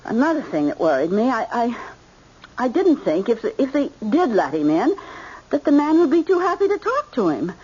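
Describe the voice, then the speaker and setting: An actress playing an anxious, fussing American mother in an old-time radio drama, halting and repeating herself. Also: fairly high pitch, big pitch swings, a little mellow, husky, muffled recording. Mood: anxious, fretful.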